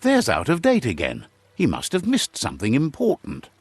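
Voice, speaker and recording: A man giving measured, dramatic storybook narration for a game. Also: fairly high pitch, huge pitch swings, husky, no echo, faint music behind.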